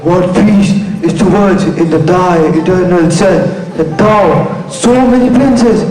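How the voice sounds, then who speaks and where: Slow male actor as stately commanding prince, highish voice, formal verse, distant, echoey. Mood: grave, commanding. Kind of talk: stage Shakespearean acting. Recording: echoey hall from far off, faint noise.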